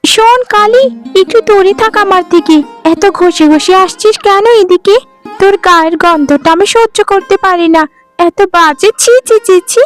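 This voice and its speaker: A woman acts out a vain young cartoon bride with an extremely high voice. She is greedy and spiteful, taunting and gloating in shrill exclamations, over background music. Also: moderate pace. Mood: mocking, haughty. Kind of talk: animated folk-tale dialogue.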